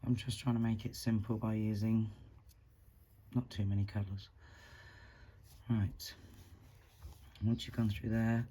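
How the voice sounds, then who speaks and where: A man with a husky voice from a recent flu, calmly talking through a beading tutorial step by step while he works. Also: fairly low pitch, unhurried, long pauses. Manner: calm, counts beads aloud, talks while working. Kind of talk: step-by-step craft instruction.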